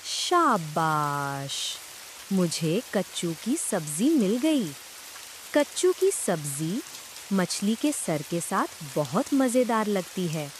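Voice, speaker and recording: A village mother-in-law in a cartoon tale, proud and caring about her cooking. She speaks slowly with very lively intonation. Faint hiss and rain sit behind the voice. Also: on the low side. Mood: brisk, pleased. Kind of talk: dramatised cartoon story dialogue.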